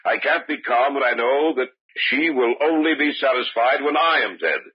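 An actor playing a haunted, fearful man in an old-time radio drama, speaking gravely and insistently. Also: medium pitch, expressive, moderate pace. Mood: desperate. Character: haunted, fearful man convinced a goddess hunts him. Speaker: narrator of his own tale. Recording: dull old radio recording.

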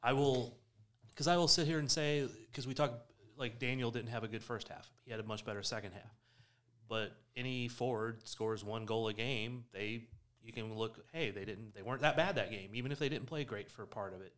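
A man's voice: mid-range voice, a little fast, a bit bright. A man in casual soccer discussion on a podcast, matter-of-fact and ready to argue his point. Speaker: sports podcast panelist.

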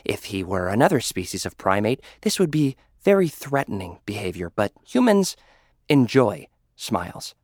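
A man's voice: lowish voice, big pitch swings, normal speed, a little mellow, no echo. A man narrating a first-person audio drama in a dry, sardonic, arrogant manner. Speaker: narrator and lead character.

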